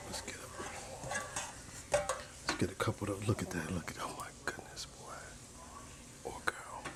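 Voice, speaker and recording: A man hosting a cooking ASMR video in a casual, playful, soft-spoken way, often slipping toward a whisper and repeating his phrases. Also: low voice, steady tone, slow pace, low-quality recording. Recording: clear sizzling and frying noise. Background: food sizzling, kitchen stirring sounds.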